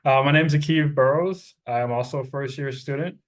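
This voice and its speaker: A man's voice: medium pitch, moderate pace, poor audio. A man from the Bahamas, a student panelist, introducing himself informally over a video call.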